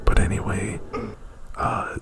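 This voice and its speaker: A man doing casual ASMR, whispering breathily right up at the microphone in a rambling, informal retelling. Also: slow. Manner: rambling, informal, with frequent "like" and profanity. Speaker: ASMR performer. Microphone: very close to the microphone.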